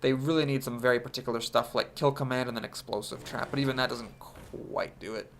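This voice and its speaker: A man's voice: mid-range voice, steady tone, fairly quick, creaky, fairly bright tone, slight background noise. A young man with a congested voice from a cold, thinking aloud as he commentates his own card game. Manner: analytical, thinks aloud about plays. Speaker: game streamer.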